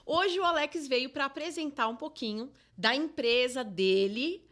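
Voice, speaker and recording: A woman's voice: high-pitched, measured pace, fairly bright tone. A woman hosting a podcast interview, introducing her guest and giving frequent affirming backchannels. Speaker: podcast host, therapist.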